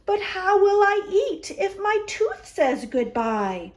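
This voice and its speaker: A woman's voice: very high voice, big pitch swings, takes it slowly, darkish tone, pitched above the performer's own voice, heavily acted. A woman voicing a worried young male bear in a children's storybook, with anxious questions.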